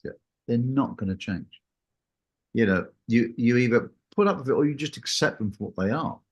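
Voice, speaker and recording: A man's voice: mid-range voice, lively intonation, moderate pace. A man in casual conversation, talking in a rambling, anecdotal way and acting out bits of reported dialogue.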